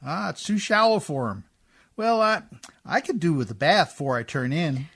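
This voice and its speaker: Man acting a husky, folksy riverboat fellow. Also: on the high side, big pitch swings, moderate pace, fairly bright tone, full character voice. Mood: folksy, easygoing. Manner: ungrammatical folksy speech, deferential. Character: rough, folksy, polite riverboat man, eager to please. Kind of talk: old-time radio play acting.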